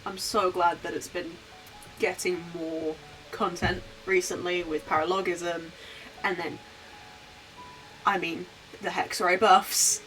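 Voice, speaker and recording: A woman's voice: fairly low pitch, steady tone, slow, pauses often. A woman game streamer giving casual live commentary. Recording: distant mic under game music. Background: loud game music.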